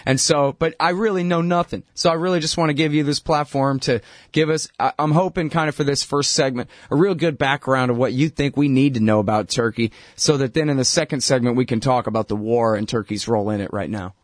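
A man hosting a talk show, chatting casually with guests in a loose, friendly way, with quick apologetic asides. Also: medium pitch, fairly even intonation, dry recording, studio quality. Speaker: radio show host. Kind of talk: casual interview chat.

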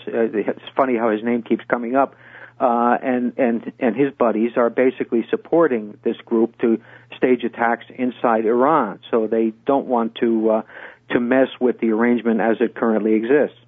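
An older man calls in as a radio guest, speaking in a measured way and often pausing on fillers and restarts. His voice comes through a tinny, narrow phone line with dry, close sound. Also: mid-range voice, steady tone, breathy edge, no room sound. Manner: measured, many hesitations and restarts. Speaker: guest, former intelligence officer. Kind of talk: radio interview. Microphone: phone call.

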